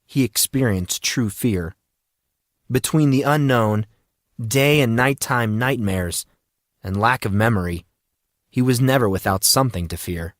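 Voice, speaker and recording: A man's voice: medium pitch, no room sound. Male narrator reading fiction, dry sound. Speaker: audiobook narrator. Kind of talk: dramatized scripted fiction narration.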